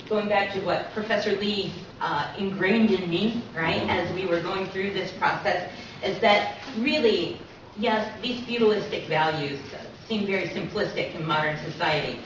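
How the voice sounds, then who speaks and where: A woman giving an explanatory public lecture as co-presenter, checking in with listeners as she goes. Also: fairly low pitch. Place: echoey indoor lecture room. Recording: very echoey room, distant mic, muffled, noisy.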